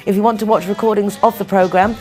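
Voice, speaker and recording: A woman's voice: mid-range voice, fairly even intonation, fast talker, darkish tone, breathy edge. A woman hosting a local lifestyle programme, interviewing guests in an effusive, complimentary manner. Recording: busy crowd behind the voice. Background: event crowd chatter.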